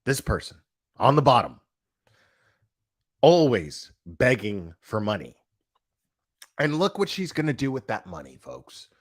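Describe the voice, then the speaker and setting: A man's voice: mid-range voice, very animated, unhurried, pauses often. A man ranting in a casual reaction video, blunt and profane, with lots of restarts and slangy fillers. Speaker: online commentator.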